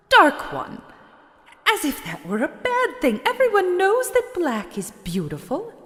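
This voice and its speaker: A woman's voice: high-pitched, a bit bright, heavily acted. A woman playing a vain, haughty female shadowling merchant in a video game, theatrical, self-absorbed and condescending. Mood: indignant. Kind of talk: dramatic video game voice acting. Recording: long reverb on the voice.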